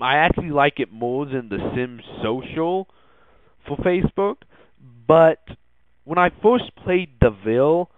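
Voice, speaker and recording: A man hosting a casual tech and gaming news podcast, speaking in a halting, hesitant way and often repeating words. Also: on the high side, no echo. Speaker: podcast host. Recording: tinny, phone-like narrowband sound.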